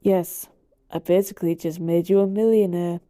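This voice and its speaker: A woman's voice: fairly low pitch, fairly even intonation, normal speed, warm tone, no room sound, clean studio sound, lightly acted. A woman voicing an ancient, all-powerful genie who talks casually and teasingly to a master in a roleplay monologue. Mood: casual, wry. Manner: casual, teasing, conversational asides. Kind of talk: in-character roleplay monologue to the listener.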